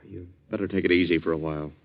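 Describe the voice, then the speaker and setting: An actor playing a smooth-talking, sponging gambler brother with an American accent in a 1940s radio drama, casual and persuasive. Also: male voice, low-pitched, steady tone, racing. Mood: solicitous. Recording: muffled 1940s radio recording.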